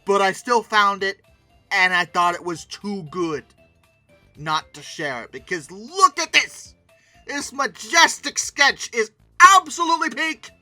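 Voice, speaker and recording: A very bright, forceful voice with lively, swooping pitch over background music. The host gives casual, off-the-cuff reaction commentary in an expressive and emphatic way. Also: measured pace, dry recording. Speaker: host of a paleoart commentary channel.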